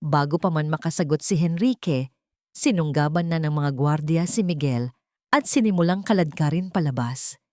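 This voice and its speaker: A woman's voice: sits low, normal speed, darkish tone, no echo, studio quality. A woman with a Filipino accent narrating a dramatic scripted story. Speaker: audiobook narrator.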